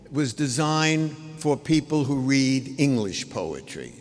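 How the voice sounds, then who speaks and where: Slow-paced older man in an echoing hall. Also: medium pitch, sing-song, faint music behind. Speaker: speaker giving a tribute at an award ceremony. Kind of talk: anecdotal tribute speech to an audience. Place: large indoor ceremonial hall. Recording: reverberant large hall.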